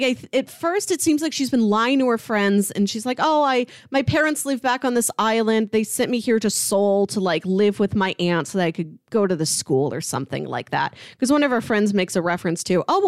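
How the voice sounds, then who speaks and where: A woman's voice: medium pitch, lively intonation, fairly quick, few pauses, dry recording. Woman chatting casually in dry close sound. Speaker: podcast host. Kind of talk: casual TV review chat.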